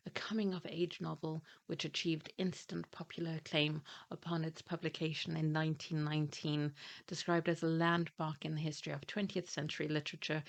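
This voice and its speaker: A woman's voice: deep voice, vocal fry. A middle-aged woman who grew up in Malawi, Nigeria and Germany, presenting her reading plans on her book channel, reading blurbs steadily and adding chatty asides with fillers.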